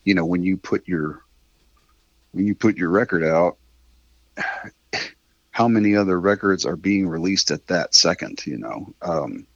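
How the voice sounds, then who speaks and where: A middle-aged man with a husky voice, chatting candidly and casually as an interview guest, rambling a little and swearing mildly. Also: deep voice, animated, measured pace, pauses often, no echo, highs cut off. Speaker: interview guest, independent musician.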